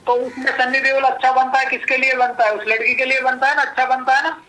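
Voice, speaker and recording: Man, extremely high sharp voice. Coarse, blunt, rambling caller on a noisy telephone line. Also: level tone, fast. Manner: blunt, coarse, self-justifying. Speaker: caller, confronted scammer. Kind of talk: rambling colloquial phone chat. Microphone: phone call.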